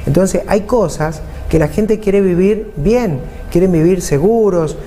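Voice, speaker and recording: A man in his forties with an Argentine Spanish accent speaks in a mellow, slightly high voice, earnest and fond of repeating points for emphasis. He sits in a TV studio answering questions, with a faint hum behind him. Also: a little slow. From San Juan, Argentina. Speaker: mayor and vice-governor candidate. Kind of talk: political interview answers. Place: TV studio, seated at a table.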